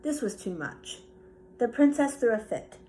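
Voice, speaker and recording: A woman reads a children's bedtime story expressively in a high voice. She takes it very slowly, with a little noise in the background. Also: big pitch swings, mellow, poor audio. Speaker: storybook reader.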